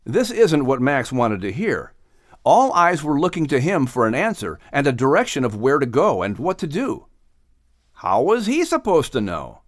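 A man's voice: medium pitch, wildly swooping pitch, moderate pace, no room sound, studio quality. A man reading a children's story as a scripted audiobook narration.

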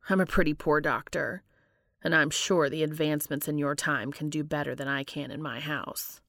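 A woman voicing a worried, weary, caring male doctor in an audiobook, grave and gently insistent. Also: low-pitched, steady tone, moderate pace, no echo, pitched below the performer's own voice. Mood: worried, firm. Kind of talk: audiobook character dialogue.

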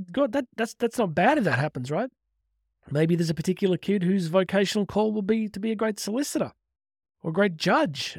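A man's voice: high voice, darkish tone, no echo, clean studio sound. A man speaking as a motivational speaker on faith, earnest and emphatic, stretching key words and posing rhetorical questions. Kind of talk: motivational talk with religious teaching.

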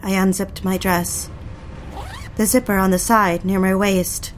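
A woman's voice: medium pitch, level tone, measured pace, natural voice. An actress playing an anxious, submissive young wife in a dramatic audio fiction, hesitant and pleading, with music behind her. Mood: brooding, confessional. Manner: hesitant, pleading, repeats herself. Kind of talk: dramatic audio fiction, dialogue and confessional narration.

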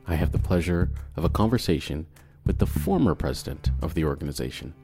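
Deep-voiced male host, inquisitive interviewer, light music underneath. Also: animated, fast talker, warm tone, breathy edge. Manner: curious, prompting questions. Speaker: podcast host. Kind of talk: casual interview and scripted intro.

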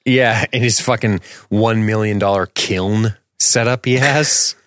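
A man's voice: on the low side, steady tone, measured pace, fairly bright tone, no echo. A man in his forties co-hosting a movie podcast, joking sarcastically and swearing freely in casual banter. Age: 40s. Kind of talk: casual profane banter about a film.

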